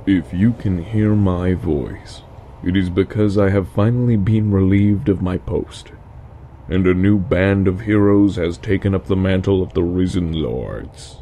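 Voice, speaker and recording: A man playing an ancient, solemn immortal lord, delivering an epic fantasy prologue in a grave, measured manner. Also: low voice, warm tone, a little hiss or hum. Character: ancient solemn risen lord, being born of sparks. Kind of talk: slow epic prologue narration.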